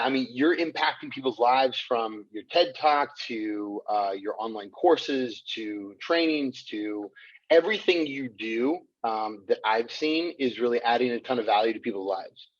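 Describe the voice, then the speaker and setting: A man's voice: mid-range voice, moderate pace. Guy hosting a podcast, upbeat, kinda muffled lo-fi sound. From United States. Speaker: podcast host. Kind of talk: conversational interview.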